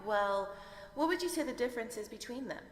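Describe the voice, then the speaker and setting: A woman's voice: on the high side, lively intonation, fairly quick, close to an everyday voice. Girl doing a curious college student in a radio play, polite questions, echoey. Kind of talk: radio drama acting. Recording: echoey hall reverb.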